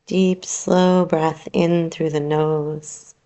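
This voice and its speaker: A woman teaching yoga nidra, guiding a relaxation meditation in a soothing, unhurried, gently instructing way with long pauses. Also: deep voice, level tone.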